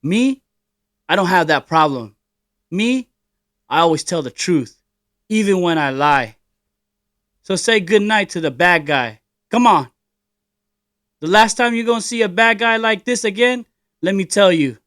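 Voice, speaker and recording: A man voicing a swaggering, defiant gangster, loud and sneering, on a podcast. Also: high voice, expressive, measured pace, long pauses, dry recording, studio quality, voice pushed up. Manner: loud, sneering, punchy delivery. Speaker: podcast co-host.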